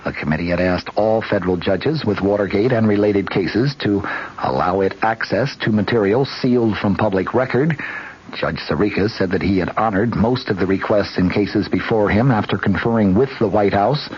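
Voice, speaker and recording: A man's voice: on the low side, moderate pace, breathy edge. A man reading scripted news formally as a radio newscaster. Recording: dull, noisy old radio broadcast.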